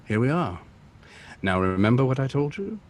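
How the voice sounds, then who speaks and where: An actor playing a composed, refined gentleman sleuth in an old-time radio drama, speaking formally. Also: medium pitch, sing-song, moderate pace, natural voice. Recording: noisy vehicle ambience behind.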